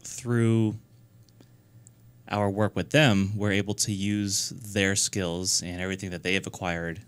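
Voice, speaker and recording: Man, 30s, from New Hampshire. Low, smooth voice; earnest interview guest who restarts often. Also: little pitch movement, dry recording. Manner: earnest, halting, many fillers and restarts. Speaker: guest, nonprofit founder. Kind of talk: casual radio interview.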